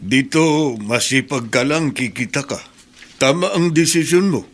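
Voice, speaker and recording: A man's voice: medium pitch, animated, a little slow. A fatherly middle-aged uncle. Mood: reassuring. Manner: warm, reassuring, fatherly. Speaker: radio drama character. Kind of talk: radio drama acting.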